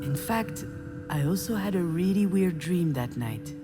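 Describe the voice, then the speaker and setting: A troubled woman narrates her inner thoughts in a video game. Her voice is low, reflective and confiding, full of self-doubt. Music plays clearly beneath the words. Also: lively intonation, measured pace. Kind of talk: scripted video game voice acting, introspective first-person narration.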